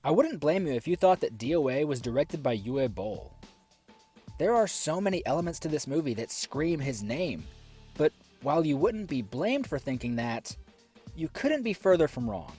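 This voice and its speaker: A man's voice: fairly high pitch, very animated, normal speed, darkish tone, slightly rough, soft background music. A man giving a scripted review commentary with sarcastic, exasperated asides. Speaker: film reviewer.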